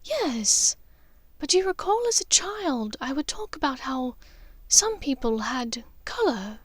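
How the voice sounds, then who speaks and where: Woman playing a soft, high-voiced troubled witch mother. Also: very animated, slow, breathy edge, no echo, exaggerated voice. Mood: serious. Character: gentle, troubled upper-class witch and mother, wistful.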